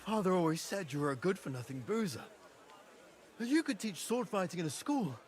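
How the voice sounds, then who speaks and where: A proud, dutiful young medieval lord in a video game. He speaks in a somewhat high voice, formal and earnest, as a loyal younger son. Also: sing-song, brisk, darkish tone. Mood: startled. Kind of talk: dramatic game dialogue in a medieval setting.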